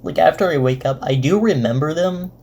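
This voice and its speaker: A man's voice: a little high, brisk, gravelly, darkish tone, breathy edge. A man giving casual, off-the-cuff reaction commentary, rambling and repeating his words. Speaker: reaction host.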